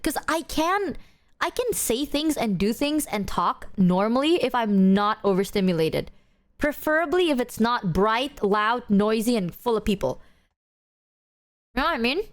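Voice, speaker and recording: Young streamer woman, bouncy high voice, jokey to chat, desk mic. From Indonesia. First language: Indonesian. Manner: playful, jokey, addresses chat, slangy. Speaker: VTuber streamer. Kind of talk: casual live-stream chat to viewers.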